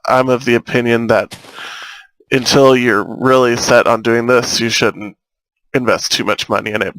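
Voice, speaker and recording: A young man chatting casually as he plays, rambling from one thought to the next and quick to get excited. His voice sits at an ordinary middle pitch with a slight lisp. It comes through a cheap earbud microphone that makes it sound a little robotic, and the sound is dry and close. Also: no echo, poor audio. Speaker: gaming YouTuber. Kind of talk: casual let's-play commentary.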